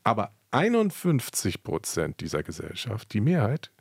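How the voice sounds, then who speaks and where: A man's voice: on the low side, huge pitch swings, moderate pace, breathy edge, dry recording. Guy chatting about history on a podcast, colloquial, asks stuff, dry studio sound. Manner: colloquial, questioning, emphatic. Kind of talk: conversational radio commentary.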